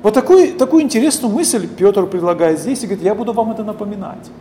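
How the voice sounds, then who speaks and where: A middle-aged man preaches with a voice that rises and falls widely. He reads scripture slowly, then breaks off to ask his listeners questions and call them friends. His tone is mellow and warm, with a faint hiss under it. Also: high-pitched, animated. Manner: deliberate, keeps addressing listeners as friends, asks rhetorical questions. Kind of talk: sermon with scripture reading.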